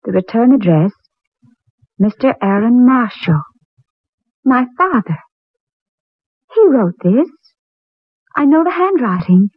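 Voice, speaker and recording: A woman's voice: a little high, expressive, slow pace, pauses often, husky, no room sound, heavily acted. An actress playing an earnest, wondering woman in a dramatic 1940s radio play. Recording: tinny 1940s radio recording, music behind.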